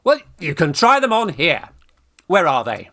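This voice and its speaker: Man acting a bossy, bright-voiced young English gentleman. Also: a little high, huge pitch swings, unhurried, heavily acted. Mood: brisk, urging. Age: young adult. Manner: commanding, exclamatory, slightly stumbling. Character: bossy, breezy, eager young Victorian student gentleman. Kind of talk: radio play farce acting.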